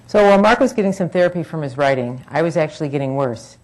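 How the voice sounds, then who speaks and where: A woman's voice: low-pitched, animated, fast, darkish tone, low-quality recording. A woman in her forties reading a frank, earnest personal talk at a public forum, with wry asides.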